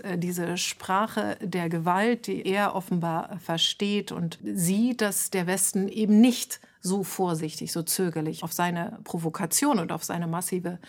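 A woman moderating a panel debate, putting long, probing, journalistic questions to her guests. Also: lowish voice.

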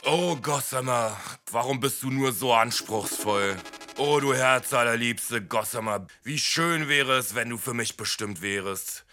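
A yearning, lecherous admirer in a radio play. His mid-pitched voice pines over clearly audible music. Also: a little slow, pushed and bright. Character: lovesick, yearning male admirer, a lecherous drinker.

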